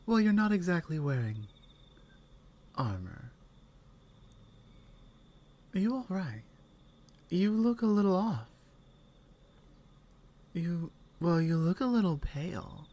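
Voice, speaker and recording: A woman playing a strong, caring Nordic warrior companion in an intimate ASMR roleplay, speaking in halting phrases that often drop toward a whisper. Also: fairly low pitch, animated, dark tone. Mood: respectful, teasing, then concerned, curious. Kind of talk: ASMR roleplay, intimate one-sided dialogue.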